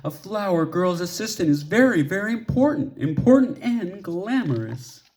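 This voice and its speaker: A man's voice: high voice, lively intonation, normal speed, darkish tone, voice pushed up. A man voicing an eager, dramatic little girl in a storybook, earnest and emphatic. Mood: grand.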